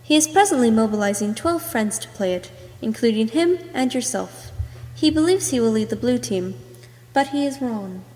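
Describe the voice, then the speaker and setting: Woman, high pitch, scripted character narration, amateur voice reel, heavy reverb tail. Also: animated, moderate pace, darkish tone. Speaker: amateur voice actor recording a voice reel. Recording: long hollow reverb.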